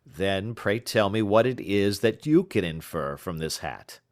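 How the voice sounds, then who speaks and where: A man voicing a modest, puzzled gentleman in an audiobook, politely questioning his clever friend. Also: fairly low pitch, animated, a little slow. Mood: baffled. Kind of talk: audiobook character dialogue.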